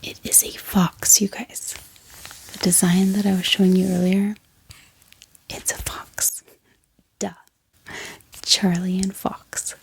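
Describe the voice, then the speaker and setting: A woman whispers softly and breathily in a gentle, chatty ASMR style, talking informally to her viewers close to the microphone. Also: mid-range voice, flat delivery, takes it slowly, pauses often, low-quality recording. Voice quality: breathy, mostly unvoiced whisper. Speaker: ASMR video host. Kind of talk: soft-spoken whispered ASMR.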